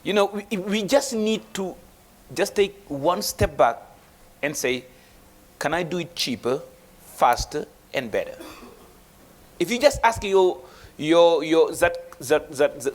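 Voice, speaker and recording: A man speaking as an investment banker on a panel, emphatic, with rhetorical questions and frequent restarts. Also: fairly high pitch. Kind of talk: motivational panel talk.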